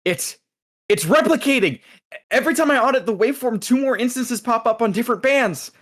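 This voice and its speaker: A man's voice: very high-pitched, expressive, fairly quick, fairly bright tone, full character voice. A man playing a jaded, sardonic sci-fi agency agent in a cartoon table read. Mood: alarmed. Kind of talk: animated pilot table read.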